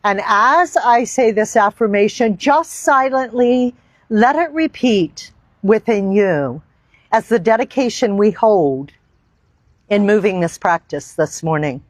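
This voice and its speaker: A woman leads a yoga meditation at a very measured pace. She phrases each thought deliberately and reverently, leaving long pauses between them. Her mid-pitched voice comes through dry, with little echo. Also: expressive. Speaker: yoga teacher. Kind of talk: guided meditation and yoga instruction. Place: outdoor park.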